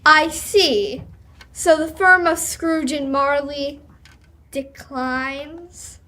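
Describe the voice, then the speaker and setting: An earnest, courteous charity collector in a radio play, acted by a young woman. The voice is high and bright, and the words come slowly and formally. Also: steady tone, exaggerated voice. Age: teenager or young adult. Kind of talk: live old-time radio drama reading.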